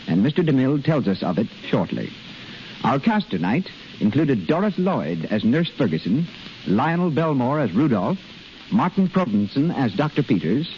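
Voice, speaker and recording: Male American 1930s radio announcer, animated scripted read, dull recording with faint hiss. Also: mid-range voice. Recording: hissy, muffled 1930s radio broadcast.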